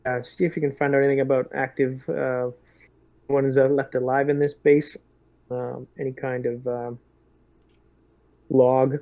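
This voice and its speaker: A man's voice: mid-range voice, little pitch movement, normal speed, long pauses, warm tone, no echo, highs cut off. A man playing a squad leader in a tabletop game, handing out instructions hesitantly and casually. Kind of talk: casual in-character game talk.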